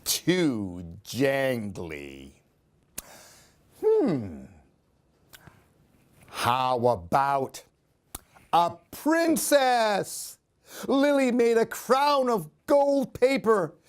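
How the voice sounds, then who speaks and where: A man's voice: high voice, huge pitch swings, extremely slow, long pauses. A man reading a children's story expressively, in a sing-song way, putting questions to young listeners. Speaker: storyteller reading picture books for a library program.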